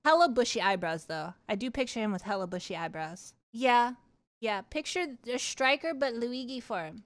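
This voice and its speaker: A woman livestreamer casually chatting with her viewers and telling personal stories in an animated, candid, giggly way, swearing freely. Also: fairly high pitch, moderate pace. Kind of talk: casual chat and personal storytelling.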